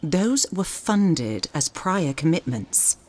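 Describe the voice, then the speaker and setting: An actress playing a prim, politely evasive official in a radio drama. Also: female voice, lowish voice, very animated, takes it slowly, a little mellow, exaggerated voice. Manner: polite, hesitant, formal.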